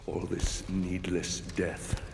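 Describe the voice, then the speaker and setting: An actor playing a grim, vengeful Roman general in a video game, speaking with solemn, measured gravity. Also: male voice, low voice, little pitch movement, vocal fry, heavily acted. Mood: cold, accusing. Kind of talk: dramatic video game acting. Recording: echoey hall under loud music.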